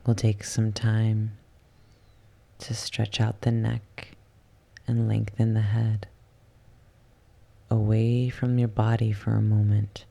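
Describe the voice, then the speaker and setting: Very slow, hushed, creaky relaxation guide. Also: mid-range voice, level tone. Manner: soft, hushed, dropping at times into whisper; soothing, unhurried, with long pauses. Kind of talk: ASMR guided yoga nidra relaxation.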